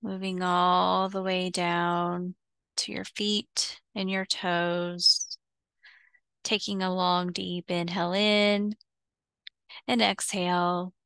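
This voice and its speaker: A woman leading a guided visualization as a meditation teacher, soothing and unhurried, leaving long pauses between short phrases. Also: fairly low pitch, flat delivery, fairly bright tone, clean tone, dry recording.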